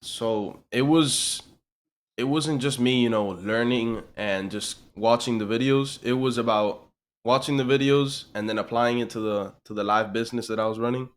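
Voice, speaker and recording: A man's voice: medium pitch, moderate pace. A man in his early twenties from Miami, giving casual answers as an interview guest. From Miami, USA. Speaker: interview guest, online business owner.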